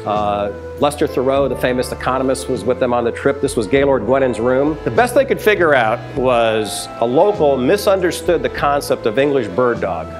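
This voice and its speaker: A man in his fifties talks with animated energy, gesturing as he tells stories in a brewery taproom. His voice sits at an ordinary pitch and is picked up on a lapel mic, with faint music under it. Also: moderate pace, hardly any pauses. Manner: animated storytelling, hand gestures. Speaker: brewery chief executive. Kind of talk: sit-down interview.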